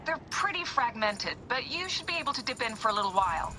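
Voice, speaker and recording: A woman voicing an upbeat technical assistant in a video game, brisk and conversational. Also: fairly high pitch, sing-song, noisy background. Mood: helpful. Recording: music behind the voice.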